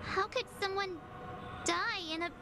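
A woman's voice: very high voice, unhurried, noisy background. A woman voicing a wistful, sensitive teenage girl in a video game, girlish and plaintive, speaking hesitantly and earnestly. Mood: troubled, wistful. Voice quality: girlish, youthful.

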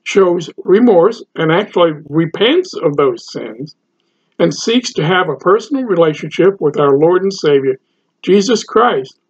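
An older man preaches a sermon to his webcam from a study at home. He speaks slowly and deliberately in a husky, rather high voice. The audio is dull and lo-fi. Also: expressive. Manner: slow, deliberate preaching to camera. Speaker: pastor. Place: home study with bookshelves, indoors. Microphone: webcam, seated at computer.

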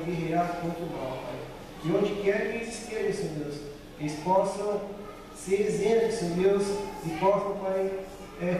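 High-voiced man praying in an echoey church. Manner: repeats "Senhor Deus" and "Pai" between every phrase. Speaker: church member leading prayer. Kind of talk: spoken congregational prayer. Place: large church hall. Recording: echoey church hall, distant mic, background noise.

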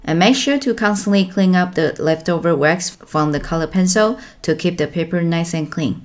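A young woman calmly explaining step by step how she colors a drawing, as the host of an art tutorial. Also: on the low side, normal speed. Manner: calm, instructive.

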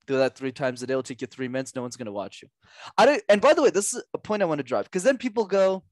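A young man, a podcast guest and communication coach, giving energetic coaching advice with lots of emphasis and repetition over a headset mic at home. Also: a little high, fast talker, no echo. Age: young adult. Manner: animated, gestures a lot, drives points home with repetition. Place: small room at home.

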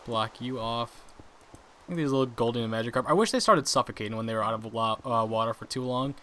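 Bright-voiced young man narrating his gameplay. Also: medium pitch, a little fast. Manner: chatty, laughs often at his own mistakes. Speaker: gaming channel host. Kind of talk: casual gameplay commentary.

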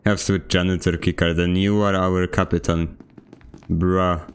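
A man streaming a video game, chatting casually and teasing his teammates with deadpan jokes. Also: low-pitched, a little slow, clear, resonant tone. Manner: joking, teasing, mock-serious deadpan. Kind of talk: casual gaming chat. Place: home room with a fan.

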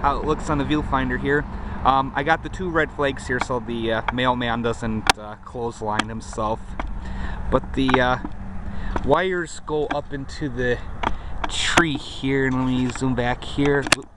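A man's voice: mid-range voice, steady tone, unhurried, very noisy. A man casually showing off his radio antenna setup, rambling with lots of fillers, filmed on a handheld camera outdoors and then at a computer. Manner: rambling, hesitant with many fillers. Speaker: hobbyist vlogger. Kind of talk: casual show-and-tell.

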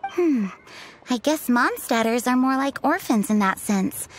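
A woman's voice: high-pitched, animated, measured pace, slight background noise. A woman playing a devout, proud, condescending city local in a video game, lecturing with assurance. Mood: smug, reverent. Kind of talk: video game character acting.

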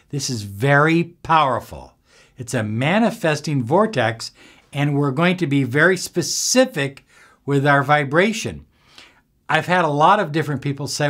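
Male coach, 60s. Unhurried, lively intonation, emphatic short phrases, instructional delivery. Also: medium pitch. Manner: deliberate, emphatic, pausing between short phrases. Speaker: self-help coach. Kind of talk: instructional talk to camera. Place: small indoor room with bookshelves.

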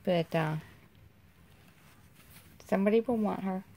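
Folksy, chatty woman with a gravelly edge. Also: mid-range voice, a little slow, dark tone. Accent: American. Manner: chatty, laughs often, folksy. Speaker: vlogger. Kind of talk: casual vlog chat. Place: inside a vehicle. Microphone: handheld phone camera.

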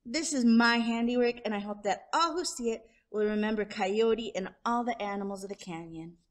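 A woman's voice: on the high side, moderate pace, close to an everyday voice. A woman voicing a clever, proud, friendly male coyote, declaiming in a children's storybook read-aloud.

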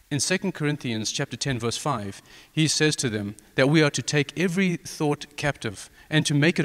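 A man's voice: medium pitch, big-room sound. A middle-aged man speaking earnestly and measuredly as he welcomes a church and leads a prayer, holding a handheld mic indoors. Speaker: pastor. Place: indoors in front of a wooden plank wall.

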